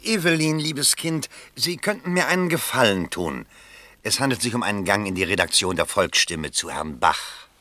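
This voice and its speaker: Self-important political candidate, very low voice, bright and forceful, radio drama. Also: male voice, lively intonation, normal speed, faint music behind. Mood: coaxing, eager. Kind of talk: German radio play acting.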